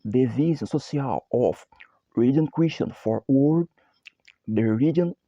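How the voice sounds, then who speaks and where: A Brazilian man with a Portuguese accent speaking halting English in a slow, repetitive, chant-like monologue. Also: mid-range voice, dark tone, dry recording, dull audio.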